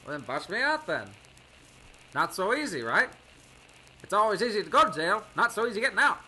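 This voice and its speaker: An excitable wisecracking hothead of a pilot in an old-time radio drama. High, very bright and husky, he talks in slangy, indignant bursts. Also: fairly quick, exaggerated voice, poor audio. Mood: wry, impatient.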